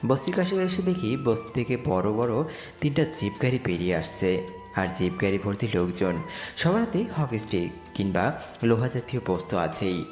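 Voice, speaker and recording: Brief: male actor as humble, earnest young slum lad, animated delivery, heavy reverb, music underneath, romantic audio drama. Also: fairly low pitch, moderate pace. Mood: narrating, earnest. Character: poor young slum boy, humble, earnest, narrating his story. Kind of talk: romantic audio story narration and dialogue. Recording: echoey reverb with music bed.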